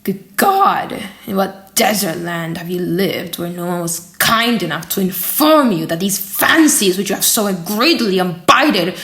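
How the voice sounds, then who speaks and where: A woman's voice: medium pitch, vocal fry, sharp tone, full character voice. A woman voicing a scornful, uncouth male professor in an audiobook, scolding with pompous emphasis. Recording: echoey room.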